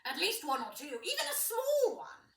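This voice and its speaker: A woman's voice: extremely high pitch, big pitch swings, moderate pace, fairly bright tone, voice pushed up, full character voice. A woman voicing a blustering old king who nags his son with teasing, needling questions. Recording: echoey room, distant mic.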